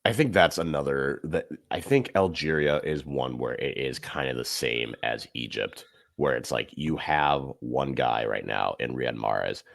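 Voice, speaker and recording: Deep gravelly-voiced man talking football. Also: unhurried. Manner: animated, emphatic football analysis. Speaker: podcast co-host. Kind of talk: casual sports chat.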